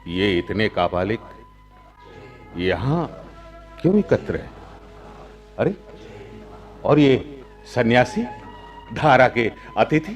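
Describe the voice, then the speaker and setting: An actor playing a calm, authoritative nobleman in a radio play, speaking formally and with measured command. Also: mid-range voice, soft background music. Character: calm, authoritative, lawful male nobleman ruler. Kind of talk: Hindi radio play acting.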